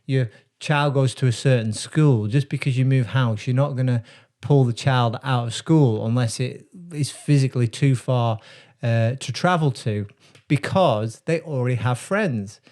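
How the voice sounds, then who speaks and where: A man who works as a brand strategist, talking casually to camera and explaining ideas in a reflective, unhurried way with frequent pauses. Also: mid-range voice, a little mellow.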